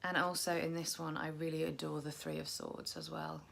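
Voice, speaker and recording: A young woman chatting casually to camera about her tarot decks, tired and croaky, rambling and poking fun at herself. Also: low voice, little pitch movement, normal speed, a bit bright. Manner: chatty, self-deprecating, rambling. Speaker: tarot vlogger.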